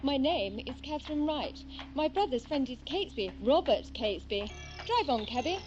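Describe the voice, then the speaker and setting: A woman playing a proud, feisty young gentlewoman in a period audio drama, clipped and formal. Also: high voice, expressive, normal speed, dull audio, over music. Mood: brisk.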